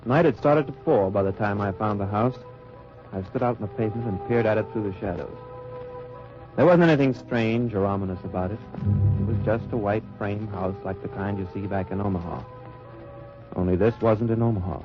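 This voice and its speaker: A man's voice: lowish voice, brisk, lightly acted. An actor playing an American spy posing as a German salesman, narrating in terse hard-boiled first person in a 1940s radio drama. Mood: tense. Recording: muffled old radio recording with music.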